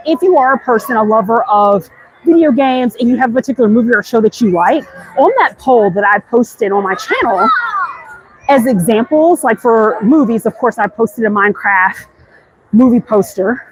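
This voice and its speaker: High-voiced American woman vlogging outdoors. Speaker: livestream host. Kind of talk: casual chatty walk-and-talk vlog. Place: outdoors walking through a busy theme park. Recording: outdoor ambient noise. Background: busy theme park ambience.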